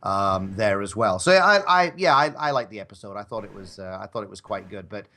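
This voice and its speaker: A man's voice: lowish voice, lively intonation, brisk, pushed and bright. A man chatting casually as a guest on a live show, rambling and modest about his own work. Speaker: guest, audio drama maker.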